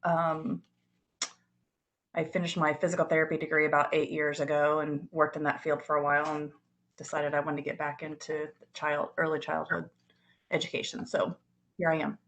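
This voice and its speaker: Laid back lady, low voice, keeps restarting sentences. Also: monotone, normal speed, a bit bright. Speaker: student. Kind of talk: class introduction.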